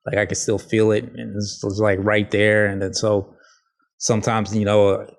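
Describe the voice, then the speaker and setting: A man hosting a casual solo podcast, talking in a halting, rambling, informal way with a slight lisp. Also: fairly low pitch, flat delivery. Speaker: podcast host.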